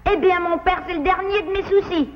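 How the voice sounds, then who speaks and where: Very high-pitched little boy beaver. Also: steady tone, brisk, dull audio. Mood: earnest, hurt. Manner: childlike, cheeky and plaintive. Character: sulky, defiant little boy beaver, tender toward mother. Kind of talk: cartoon dubbing in French.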